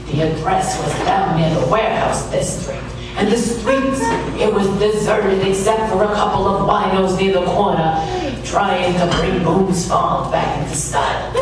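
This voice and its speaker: An actor playing a sardonic, world-weary private detective in a hardboiled radio drama. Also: male voice, high-pitched. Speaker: actor and narrator. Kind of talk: noir radio drama narration. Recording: echoey room, distant mic, music, amateur sound.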